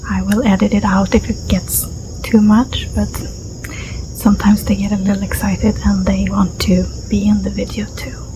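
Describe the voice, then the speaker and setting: A woman with a Swedish accent, a native Swedish speaker, reading a story as soft-spoken ASMR in a gentle, breathy voice that often drops to a whisper. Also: medium pitch. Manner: gentle, unhurried, soothing. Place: outdoors in a garden. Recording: clear outdoor ambience with insect noise. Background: crickets and insects, garden ambience.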